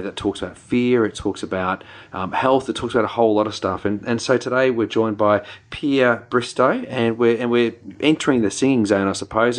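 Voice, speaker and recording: A man with a New Zealand accent hosting a podcast, chatting in a relaxed, rambling way with many fillers. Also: on the low side. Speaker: podcast host. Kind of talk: casual podcast chat.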